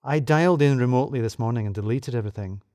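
A man voicing a calm, matter-of-fact female office co-conspirator in an audio story, understated in manner. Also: medium pitch, racing, no room sound, clean studio sound, close to an everyday voice.

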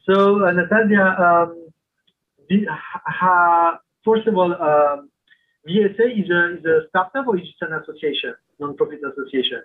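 A male podcast host with a fairly high, husky voice. His sound comes through a thin, phone-like line. He asks his questions haltingly and fills the gaps with hesitations. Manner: hesitant, many fillers. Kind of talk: interview hosting.

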